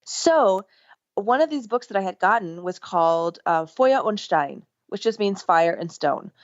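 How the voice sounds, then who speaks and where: A middle-aged woman, a podcast guest, telling a story in an enthusiastic, rambling way, with lively jumps in pitch. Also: a little slow, dry recording. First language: English. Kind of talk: casual storytelling interview. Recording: dull lo-fi remote line.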